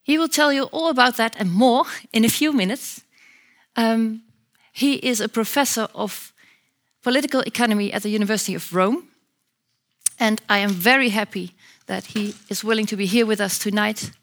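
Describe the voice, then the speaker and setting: Fairly high-voiced woman hosting an event. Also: moderate pace. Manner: reads a prepared introduction with small fillers. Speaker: program manager and host. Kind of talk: event introduction.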